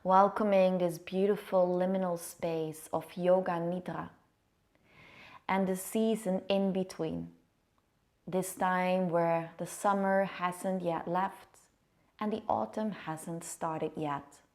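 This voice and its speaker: A woman yoga nidra teacher giving soft guided meditation in a hushed, soothing, unhurried manner. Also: lowish voice, fairly even intonation. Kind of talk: guided meditation, chanted singing then soft spoken guidance. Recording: music behind the voice. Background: meditative music.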